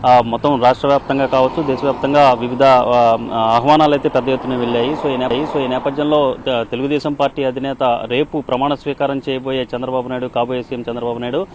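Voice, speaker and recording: A man giving an unscripted live news report as a correspondent, halting and repeating himself with drawn-out hesitations. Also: medium pitch, little pitch movement, a little mellow, slight background noise, rough recording.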